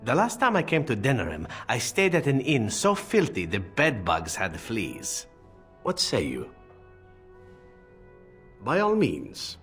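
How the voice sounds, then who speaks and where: An actor playing a roguish elven assassin in a fantasy game, flippant and charming, telling things in a wry, nonchalant manner. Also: male voice, medium pitch, huge pitch swings, takes it slowly, pauses often, a bit bright, faint music behind, exaggerated voice. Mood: amused. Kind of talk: dramatic game dialogue.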